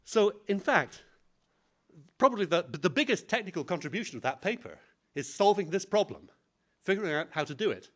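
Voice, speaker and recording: A man's voice: high-pitched, very animated, brisk, a bit bright. A man giving a conference talk on public speaking, self-deprecating and plainly phrased. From English-speaking country.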